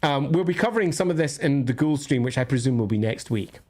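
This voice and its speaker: A man's voice: medium pitch, expressive, fast talker, darkish tone. Scottish man chatting on a livestream. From northern Scotland. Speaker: livestream host answering viewer questions. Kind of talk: casual livestream chat, thinking aloud.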